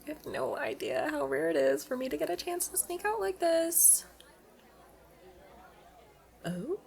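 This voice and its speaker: A woman's voice: high voice, wildly swooping pitch, unhurried, creaky, darkish tone, some chatter behind, exaggerated voice. A woman playing a playful, pleading young alien princess at college in an ASMR roleplay, chatting and coaxing the listener directly. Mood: teasing, pleading, then delighted.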